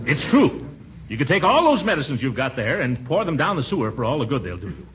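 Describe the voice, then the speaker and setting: An actor playing a pompous, flustered middle-aged bachelor uncle in a blustery, hemming 1940s radio comedy style. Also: male voice, mid-range voice, big pitch swings, quick pace, lightly acted. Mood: pompous, insistent. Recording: muffled, noisy 1940s radio broadcast recording.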